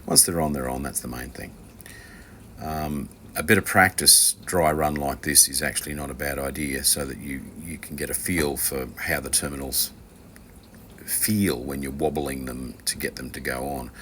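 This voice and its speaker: An extremely low-pitched man with a bright edge to his voice talks through a hands-on task as an instructor. His delivery is informal and unscripted, wandering and hesitant, with dry asides. Faint noise sits behind him. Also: steady tone, moderate pace. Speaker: instructor from a loudspeaker kit maker.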